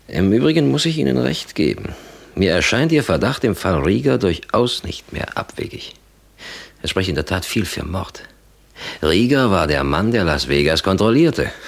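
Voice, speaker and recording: An actor playing a stern, authoritative police captain in a 1960s German radio drama, with clipped official delivery. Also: male voice, low-pitched, big pitch swings, normal speed, vocal fry, full character voice. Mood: measured, commanding. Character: stern male police captain handing out orders.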